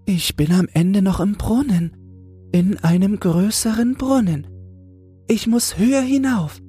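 Man putting on a high, earnest little female toad. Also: a little slow, mellow, slightly rough, faint music behind. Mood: restless longing. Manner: earnest, exclaiming wishfully. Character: small female toad, naive, yearning, full of wonder. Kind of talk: fairy tale audiobook character voice.

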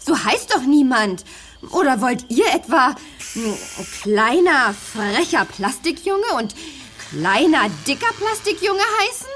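A little toy girl in a children's radio play. She is sweet but easily indignant, high-voiced and very animated, with halting, drawn-out phrases and little exclamations. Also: heavily acted. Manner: hesitant, exclaiming, expressive. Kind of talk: German children's audio drama acting.

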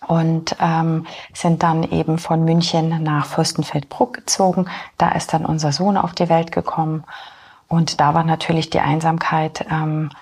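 A woman in her forties, born in Poland and raised in Germany, speaking as an interview guest in a hesitant way with many filler pauses. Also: sits low, flat delivery, a little mellow, husky. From born in Poland, grew up in Germany. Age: 40s. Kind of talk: personal interview talk.

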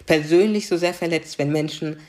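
A woman's voice: low-pitched, normal speed, dark tone. Earnest woman explaining, tagging "ne?". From Germany, Karlsruhe. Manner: earnest, explanatory, frequent "ne?" tags. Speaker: diversity coach, guest. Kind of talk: conversational interview.

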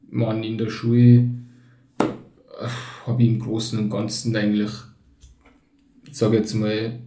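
A man's voice: on the low side, level tone, measured pace, background noise. A man in his twenties, born in Turkey and raised in Tyrol, speaking with a Tyrolean accent as a podcast guest. He talks reflectively and hesitantly, with many fillers. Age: 20s. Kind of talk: casual conversation. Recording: roomy echo, somewhat distant from the mic.

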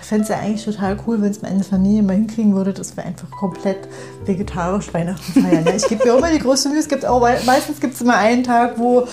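A woman's voice: medium pitch, quick pace, dark tone. A woman talking casually as a guest in a conversation, with a mid-range voice. She often seeks agreement with small tag questions, and music runs behind her. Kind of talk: casual chat.

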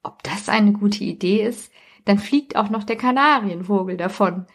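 A woman's voice: medium pitch, moderate pace. A woman talking casually as a podcast co-host, retelling stories in a conversational way.

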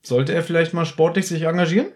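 Slightly high, husky man chatting. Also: steady tone, quick pace. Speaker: podcast co-host. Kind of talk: casual chat recapping an audio drama episode.

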